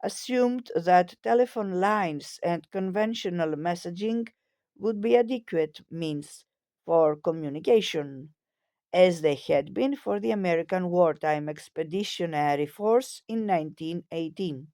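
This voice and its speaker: A woman reading scripted history narration in a measured, formal manner. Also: lowish voice, dark tone, no echo, studio quality.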